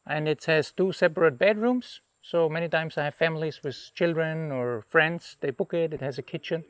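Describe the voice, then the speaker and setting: A middle-aged man from Austria, a hotel owner, talking in a relaxed and rambling way with restarts while walking his jungle property. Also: on the high side, moderate pace, smooth, dry recording. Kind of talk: casual interview on a property tour.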